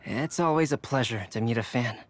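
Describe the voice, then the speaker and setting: A man voicing a calm, cultured young alchemist in a video game, speaking in measured, courteous, formal phrases. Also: medium pitch, background noise, close to an everyday voice. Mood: gracious, thoughtful. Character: calm, cultured young male alchemist and artist, politely thoughtful.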